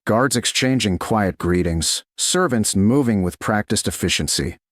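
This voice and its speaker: A man narrating a romance story in a slow, soft, deliberate storytelling manner. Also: lowish voice, lively intonation, dry recording, clean studio sound. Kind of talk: scripted audiobook narration of a romance.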